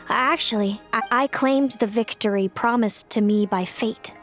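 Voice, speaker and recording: An actress voicing a calm, fatalistic young woman seer in a video game, speaking in a measured, wistful way. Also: fairly high pitch, over music, natural voice. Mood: resigned.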